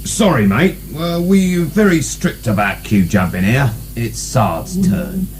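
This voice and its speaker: A man's voice: mid-range voice, sing-song, takes it slowly, soft background music. A man acting in a radio drama as a mocking prison jailer, sardonic and colloquial. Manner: taunting, colloquial. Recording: hum and hiss, radio broadcast.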